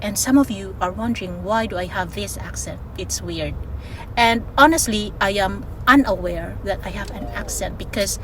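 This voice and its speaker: A woman's voice: medium pitch, normal speed, a little hiss or hum, low-quality recording. A woman from the Philippines, speaking English with a Filipino accent touched with Australian, talks casually in a vlog, laughing often and drawing out her words. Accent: Filipino, with some Australian. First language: Ilonggo. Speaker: vlogger. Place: home in Australia. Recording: music playing behind.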